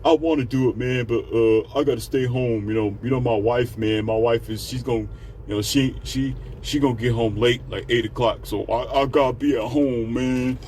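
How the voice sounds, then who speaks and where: A man's voice: mid-range voice, steady tone, normal speed, a little mellow, slight background noise, lightly acted. A man voicing a harried married man making excuses, whiny and pleading.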